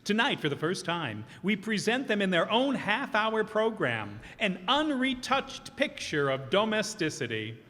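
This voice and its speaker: Formal radio announcer, male, animated and high-pitched, hall reverb. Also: a little slow, hardly any pauses. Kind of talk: old-time radio announcer reading.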